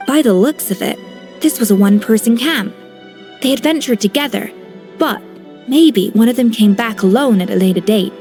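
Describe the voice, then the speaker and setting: An actress playing an inquisitive, methodical chef who speaks in a measured way. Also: female voice, fairly high pitch, darkish tone, faint music behind, close to an everyday voice. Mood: curious. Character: curious, methodical woman chef.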